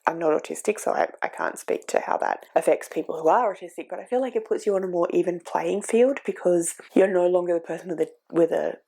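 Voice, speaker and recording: A woman vlogger talks through a book in a casual, earnest way, stopping now and then to gather her thoughts. She is sniffly from a cold. Her voice sits low and carries a heavy gravelly fry. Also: darkish tone. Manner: earnest, thinking aloud with fillers. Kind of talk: casual reading vlog chat.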